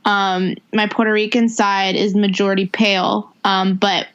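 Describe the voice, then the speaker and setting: Relaxed woman guest, muffled lo-fi sound. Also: medium pitch, moderate pace, clear, resonant tone. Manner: relaxed, filler-heavy talk. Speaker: podcast guest. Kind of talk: casual conversation. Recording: dull lo-fi audio.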